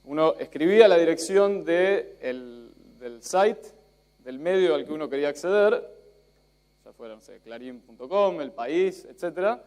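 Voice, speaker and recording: A man's voice: a little high, animated, moderate pace, darkish tone, clear, resonant tone. Chatty Spanish-born man with Argentine accent. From Spain. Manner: chatty, self-joking, many fillers and restarts. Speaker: conference speaker. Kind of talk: live conference talk.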